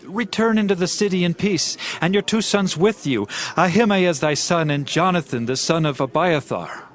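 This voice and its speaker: A man's voice: high-pitched, moderate pace, lightly acted. An actor playing a grieving, devout king in a dramatized scripture audiobook, speaking in a solemn, archaic, declamatory way. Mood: resigned, solemn. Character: grieving, devout king fleeing his rebel son. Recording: loud music under the voice.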